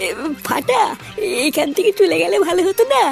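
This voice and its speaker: A woman's voice: very high voice, expressive, moderate pace, vocal fry, over music, heavily acted. A woman playing a timid, hesitant young male sidekick thief in a comic cartoon. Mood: nervous, reluctant. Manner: hesitant, worried. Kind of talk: crude comic cartoon dialogue.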